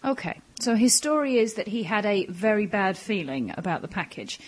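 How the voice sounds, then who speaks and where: A woman's voice: medium pitch, big pitch swings, moderate pace, breathy edge. A woman playing a composed intelligence agent in an audio drama, dry, clipped and businesslike. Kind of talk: hobby audio drama acting.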